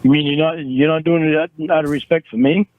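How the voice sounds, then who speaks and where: A man's voice: a little high, fairly even intonation. Man arguing on a tinny phone line. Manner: halting, defensive, repeats words as he restarts. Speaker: caller in a recorded phone argument.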